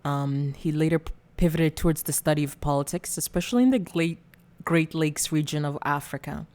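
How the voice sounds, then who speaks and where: Low-voiced hesitant woman host. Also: fairly quick, darkish tone. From Rwanda. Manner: hesitant, many fillers, giggles. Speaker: channel host. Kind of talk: casual political commentary video.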